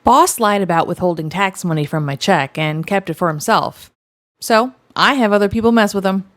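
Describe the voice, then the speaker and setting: A woman's voice: medium pitch, normal speed, no echo, studio quality. A woman narrating first-person stories in a wry, matter-of-fact way. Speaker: narrator reading online stories.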